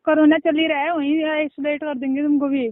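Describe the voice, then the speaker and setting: Woman on a phone call, high voice, sly and dismissive, phone-line sound. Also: flat delivery, brisk, no echo. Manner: evasive, teasing, dismissive. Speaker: prank call receiver.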